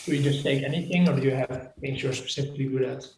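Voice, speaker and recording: A man's voice: mid-range voice, quick pace, a little hiss or hum. Chatty man on an echoey video call. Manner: relaxed, chatty, with fillers. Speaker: meetup participant asking questions. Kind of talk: casual video call chat.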